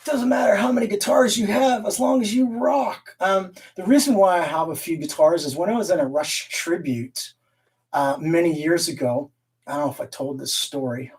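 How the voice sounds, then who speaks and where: A man's voice: sits high, normal speed, a little mellow, distant mic. A man raised in Canada hosting a casual live stream, rambling, laughing often and reading the chat aloud. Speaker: livestream host and guitar collector.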